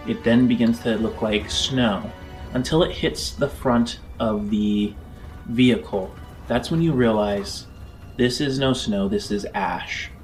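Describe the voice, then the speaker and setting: A man narrating a tabletop roleplaying game as game master, in a measured, descriptive storytelling manner. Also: on the low side. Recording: music under the voice. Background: background music.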